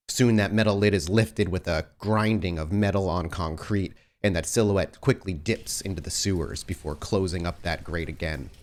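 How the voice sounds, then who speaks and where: A man narrating a tabletop roleplaying game as game master, setting scenes in a measured, atmospheric way. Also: sits low.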